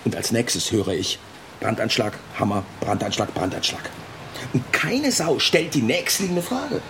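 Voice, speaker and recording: An actor playing a grumbling, sardonic investigator in a radio drama, speaking in clipped, deadpan phrases. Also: male voice, mid-range voice, unhurried, noisy background. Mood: sardonic, irritated.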